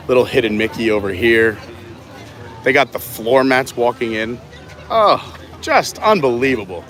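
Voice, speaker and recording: A man from New York hosting an excited live walkaround stream outdoors at a resort, gushing over what he sees. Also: mid-range voice. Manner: enthusiastic, gushing.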